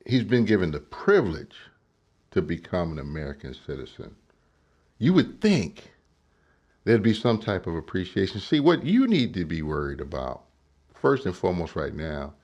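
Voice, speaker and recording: Deep gravelly man commenting. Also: very animated, unhurried, pauses often, darkish tone, muffled recording. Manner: emphatic, asks rhetorical questions, says "right?" often. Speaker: political commentator. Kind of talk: reaction commentary on a clip.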